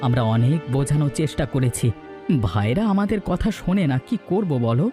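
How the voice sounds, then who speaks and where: A man's voice: fairly high pitch, expressive, a little fast, over music, close to an everyday voice. A man voicing an earnest, sometimes stern grown son in a melodramatic cartoon story. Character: grown Bengali sons of a household, earnest, stern.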